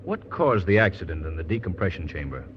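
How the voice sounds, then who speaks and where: An actor playing an urbane, earnest male gentleman detective, in 1940s radio style. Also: low-pitched, sing-song, fairly quick. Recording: dull, hissy old radio recording.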